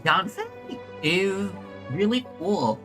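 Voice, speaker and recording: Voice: medium pitch, lively intonation, slow. A game streamer chatting casually on a livestream, hesitating and thinking aloud in a rambling way. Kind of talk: casual gameplay livestream chat. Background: faint game music.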